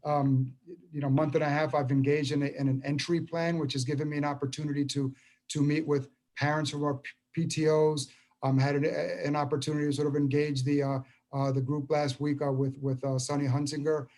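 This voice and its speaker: Halting, earnest man in his fifties. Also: a little high, level tone, a little mellow. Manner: halting, many repeated words and restarts. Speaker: school superintendent. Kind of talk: public meeting remarks.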